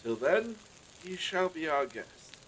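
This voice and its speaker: A man's voice: fairly high pitch, very animated, slow, a bit bright, a little hiss or hum, exaggerated voice, low-quality recording. A man playing a courteous, genteel master of the house in a period audio drama, formal and politely apologetic. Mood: gracious, formal.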